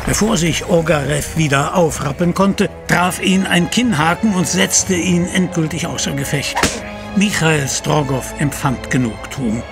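A man's voice: fairly high pitch, measured pace, gravelly, over music. A man narrating an adventure audio drama in a dramatic, articulate storytelling style.

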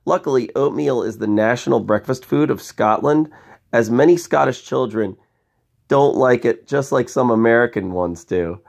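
Creaky-voiced man reading a bedtime story. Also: medium pitch. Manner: expressive, addresses young listeners with questions. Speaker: storyteller reading a picture book aloud to children. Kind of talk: bedtime story read-aloud with conversational asides.

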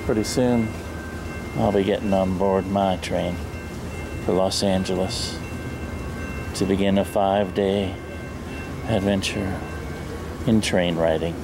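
A man in his sixties narrating a casual train travel vlog to a handheld camera, speaking haltingly in short phrases. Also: sits low, steady tone, music in the background. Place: outdoors at railway station and train yard. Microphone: handheld camera at arm's length. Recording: noisy outdoor camcorder with traffic and trains.

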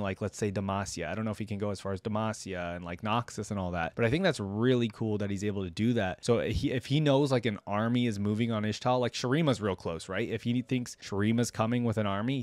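A man's voice: mid-range voice, no echo. A man, hosting a reaction video with casual commentary to his viewers. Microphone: close desk microphone.

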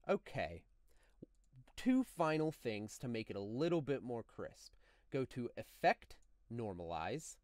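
A man narrating a step-by-step software tutorial in a deliberate, instructional manner, as a close, dry voice-over. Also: medium pitch, dry recording. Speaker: tutorial host.

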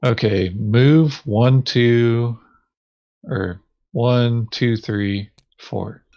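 Man, very slow delivery, casual game chat, hesitant, thinking aloud. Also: mid-range voice, lo-fi sound. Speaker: board game player.

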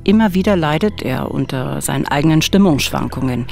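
A woman's voice: deep voice, lively intonation, brisk, gravelly, a little mellow, faint music behind. A woman co-hosting a true-crime podcast, telling the story at a close studio microphone. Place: small radio studio.

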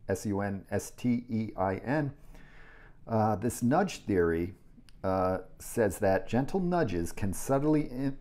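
An American man in his sixties giving casual commentary as he reads an article aloud, halting often and rambling into asides. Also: on the low side, a little mellow. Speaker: vlogger. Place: indoors in a small home kitchen.

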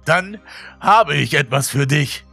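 A man voicing an eerily cheerful ragged stranger in a horror story, with a drawn-out, sugary delivery. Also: a little high, expressive, vocal fry, sharp tone, slightly rough, no echo, soft background music, pitched above the performer's own voice, exaggerated voice. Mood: coaxing, cheerful.

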